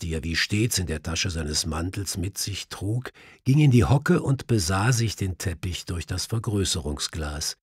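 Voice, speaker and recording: A man narrating a detective audiobook in a measured storytelling manner. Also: on the low side, a little mellow, husky, no echo, clean studio sound.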